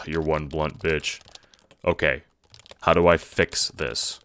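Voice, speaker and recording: A man's voice: extremely low pitch, steady tone, slow, fairly bright tone, clear, resonant tone, exaggerated voice. A man playing a casual, foul-mouthed young time-traveling guy in an audio drama, talking in hesitant slang. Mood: distressed, angry.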